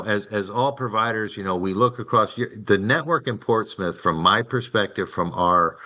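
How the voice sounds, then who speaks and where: A man with a very deep voice, a network representative presenting to a city commission, halting and full of fillers and restarts. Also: pushed and bright. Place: public meeting room.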